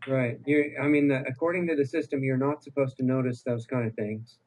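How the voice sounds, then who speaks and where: A man's voice: medium pitch, steady tone, brisk, darkish tone, poor audio. A man speaking as a guest on a call-in radio show, earnest and encouraging, often restarting his sentences. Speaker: guest health researcher.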